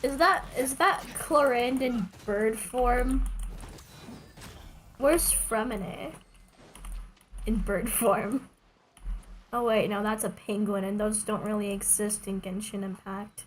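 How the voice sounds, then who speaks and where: A woman doing casual, chatty livestream commentary while playing a game, playful and quick to swear. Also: mid-range voice, slight background noise. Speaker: game streamer.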